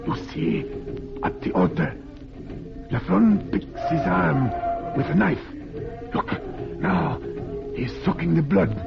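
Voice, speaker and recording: An actor playing a big, proud, fearless rebel commander who speaks terse, commanding broken English in a 1940s radio drama. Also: male voice, on the high side, slow, creaky, pauses often, exaggerated voice. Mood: urgent. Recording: dull vintage radio recording with music.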